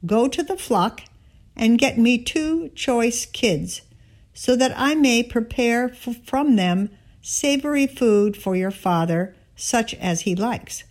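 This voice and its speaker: Slow-paced woman reading scripture. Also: on the high side, animated, darkish tone. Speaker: scripture reader. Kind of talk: scripted Bible reading, narration with dialogue.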